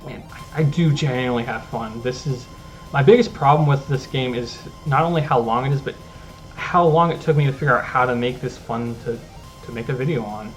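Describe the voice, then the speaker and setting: A man's voice: medium pitch, fairly quick, soft background music, poor audio. A man giving casual, rambling commentary over his own gameplay as a gaming channel host. He often restarts his sentences and talks at an easygoing pace. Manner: rambling, self-interrupting, easygoing.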